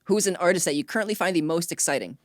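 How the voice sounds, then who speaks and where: A woman's voice: lowish voice, animated, rapid-fire, no room sound, studio quality. A woman hosting an interview in a casual, informal way, often affirming with short repeated acknowledgements. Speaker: interview host.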